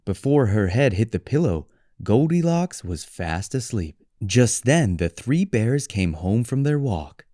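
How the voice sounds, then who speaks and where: A man reading a children's story as a storyteller, with deliberate, expressive delivery. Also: on the low side, dry recording, studio quality. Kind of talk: scripted children's story narration for English learners.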